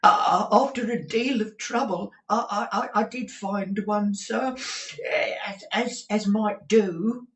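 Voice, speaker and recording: A man voicing a nervous, lowly male clerk with a stuffy cold who stammers and hesitates. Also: slow, a bit bright, exaggerated voice. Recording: roomy audio from a distant mic.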